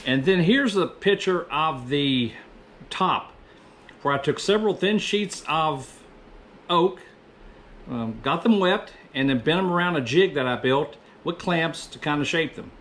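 A man's voice: on the high side, lively intonation, a little slow, fairly bright tone. Middle-aged man narrating over background noise. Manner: rambling, self-correcting. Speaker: woodworker presenting own project. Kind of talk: casual voice-over narration of woodworking. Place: small indoor woodworking workshop.

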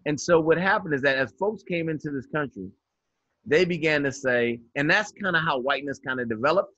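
A man's voice: on the high side, lively intonation, a little fast, bright, forceful tone, no echo, lo-fi sound. A man in his fifties, a guest educator speaking in an interview over a video call, earnest and reflective, often restarting his phrasing. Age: 50s. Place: indoors by a window. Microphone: video call webcam.

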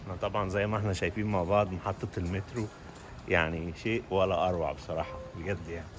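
A man's voice: low-pitched, steady tone, very fast. A man in his forties with an Egyptian accent, talking casually to the camera as a travel vlogger. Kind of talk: casual vlog talk to camera. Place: open plazas and transit halls, mostly outdoors. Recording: clear outdoor ambience and vehicle noise.

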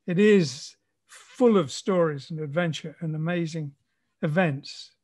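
A man's voice: fairly high pitch, measured pace, darkish tone. A man hosting a casual conversation, speaking in a polite, appreciative way. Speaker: conversation host. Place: remote call.

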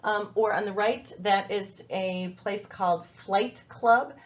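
A woman's voice: medium pitch, slow. A middle-aged woman from the United States giving a conversational training presentation over slides, with many fillers and restarts. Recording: dull lo-fi webinar audio.

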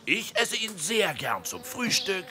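Piercingly bright, smug beetle. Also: female voice, mid-range voice, wildly swooping pitch, unhurried, full character voice. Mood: boastful. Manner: boastful, emphatic. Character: smug, dung-loving little male beetle. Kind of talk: children's animated audio-story acting.

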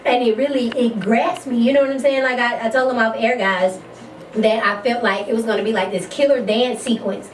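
A woman's voice: fairly high pitch, fairly even intonation, a little fast, distant mic. A young woman chatting casually as a co-host during a radio interview. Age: young adult. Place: small radio studio, indoors. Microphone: studio microphone on boom arm. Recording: roomy studio, noisy, off mic.

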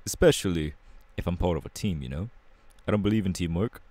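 Man acting a deep-voiced young London punk rebel. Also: darkish tone, no room sound. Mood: laid-back. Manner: casual, slangy, halting, irreverent. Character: cocky, rebellious young London punk superhero, laid-back and anti-establishment. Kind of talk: ASMR audio roleplay.

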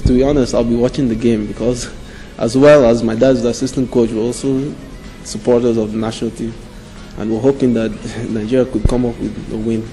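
A man's voice: medium pitch, level tone, a little fast, dark tone, soft background music, rough recording. A young man answering interview questions indoors in an easygoing, modest way. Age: young adult. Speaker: interviewee, footballer's son. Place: indoor room.

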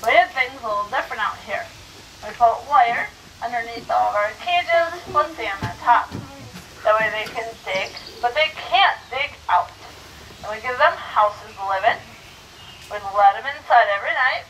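A woman's voice: a little high, moderate pace, a bit bright. A woman guiding a zoo train tour outdoors, quizzing kids and coaxing animals out into view. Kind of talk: guided tour talk to riders. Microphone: handheld microphone over a train speaker, heard from the camera. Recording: distant voice with outdoor noise.

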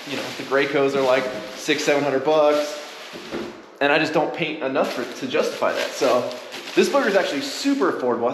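A young man vlogging his home renovation in a casual, excited, slangy way, filmed on a handheld action camera. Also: mid-range voice, a little hiss or hum. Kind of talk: casual how-to vlog. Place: large empty unfinished room indoors. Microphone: action camera held at arm's length. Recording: echoey bare room.

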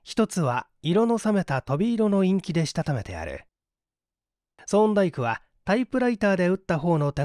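A man's voice: a little high, darkish tone, no echo, clean studio sound. A man narrating a literary mystery story as a dramatic audiobook reader.

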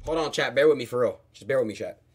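A man's voice: mid-range voice, expressive, quick pace, slightly rough. A young man in his twenties livestreaming from a bedroom, talking restlessly to his chat and friends with lots of swearing. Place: bedroom in a house.

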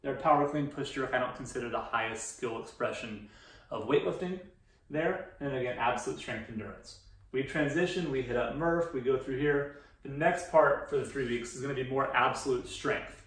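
A man's voice: medium pitch, normal speed, fairly bright tone. A man in his thirties giving an informal, explanatory presentation as a fitness coach in a conversational way. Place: indoor room beside a presentation screen. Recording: roomy echo, distant microphone.

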